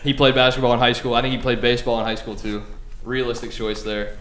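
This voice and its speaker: A young man with a deep voice hosting a casual card game and announcing rounds with a relaxed, joking tone. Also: fast, reverberant, slight background noise. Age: young adult. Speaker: host running a card game. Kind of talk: casual game-show banter. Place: college studio.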